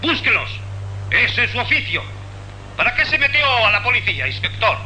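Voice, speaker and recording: Very sharp, scolding police commissioner. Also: male voice, very high voice, sing-song, normal speed, heavily acted. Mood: sarcastic, scolding. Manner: scolding, rhetorical, commanding. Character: sarcastic, impatient male police commissioner. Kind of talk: dramatic radio play acting. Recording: noisy, humming phone-line radio effect.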